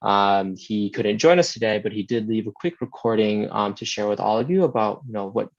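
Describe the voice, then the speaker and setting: A man's voice: lowish voice, lively intonation, fairly quick, vocal fry, no room sound, lo-fi sound. A man hosting an info session over a video call, talking hesitantly with lots of fillers. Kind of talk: casual live video call presentation.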